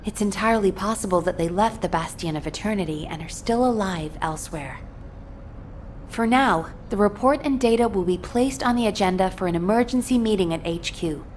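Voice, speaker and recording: Actress as composed female sci-fi officer, medium pitch. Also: brisk, a little mellow, slight background noise, close to an everyday voice. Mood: resolute, official. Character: businesslike female sci-fi headquarters officer, reassuring but official. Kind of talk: scripted game dialogue, mission debrief.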